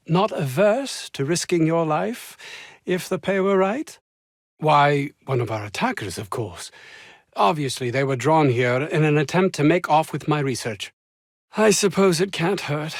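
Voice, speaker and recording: A man's voice: fairly high pitch, no room sound, clean studio sound. An actor playing a pompous, anxious, self-important male research scientist in a video game, speaking in wordy, formal phrases with flustered hesitations. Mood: haughty, pleading. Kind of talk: video game character dialogue.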